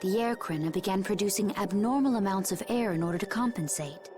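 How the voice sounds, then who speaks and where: An actress playing a composed, knowledgeable woman in a video game, explaining grave history in a measured, technical way. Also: mid-range voice, natural voice. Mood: grave, explanatory. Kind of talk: scripted video game acting.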